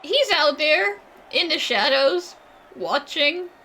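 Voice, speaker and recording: A woman's voice: high voice, expressive, slow pace, sharp tone. A woman doing casual, jokey reaction commentary as she plays a game, often voicing mock quotes. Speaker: game streamer.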